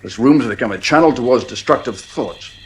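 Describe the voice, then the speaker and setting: An actor playing a middle-aged psychiatrist in a radio drama, measured, frank and wry, with a husky voice. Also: male voice, medium pitch, animated, slight background noise, close to an everyday voice. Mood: grave, frank. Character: male psychiatrist, frank, wry family friend.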